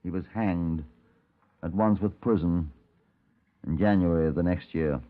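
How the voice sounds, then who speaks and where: Low-voiced, shrewd police detective. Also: male voice. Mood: grave narration. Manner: measured, probing, wry. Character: composed, shrewd male Scotland Yard detective, narrating his case. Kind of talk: 1950s radio acting, police procedural narration. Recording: tinny old radio recording.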